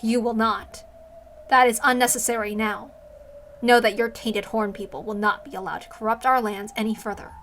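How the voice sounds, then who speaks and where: A woman's voice: on the high side, little pitch movement, normal speed, fairly bright tone, a little hiss or hum. A woman voicing a haughty, hostile goddess in a visual novel, speaking in imperious, formal, scornful phrases. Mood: commanding. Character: haughty, hostile inhuman goddess in female human form.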